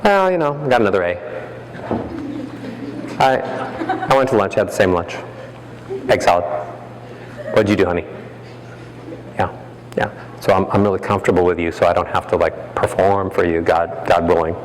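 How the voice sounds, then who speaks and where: A man's voice: low-pitched, animated, normal speed, pauses often, pitched below the performer's own voice. A man putting on a dull, lazy husband. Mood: bored. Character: bored complacent married man, flat and lazy. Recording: echoey hall, faint ambience.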